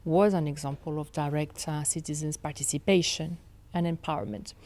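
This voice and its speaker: A woman in her forties with a French accent, a guest in an interview, speaking in a measured way with many fillers and restarts. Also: deep voice, steady tone, darkish tone. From France. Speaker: law professor, guest. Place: studio set with armchairs. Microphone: desk mic on boom arm.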